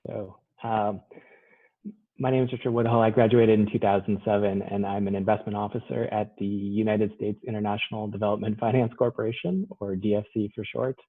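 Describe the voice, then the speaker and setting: A man's voice: on the low side, flat delivery, a little fast, narrow, tinny audio. A man introducing himself formally as a panelist over a video call. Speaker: panelist, investment officer.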